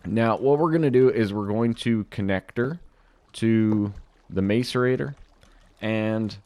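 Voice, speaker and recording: A man's voice: lowish voice, unhurried, no room sound. A young man with a slightly dry throat, chatting casually to viewers while he plays through a modded Minecraft series. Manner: relaxed, chatty, talks to viewers while playing. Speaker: gaming video host. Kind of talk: casual Minecraft modpack commentary.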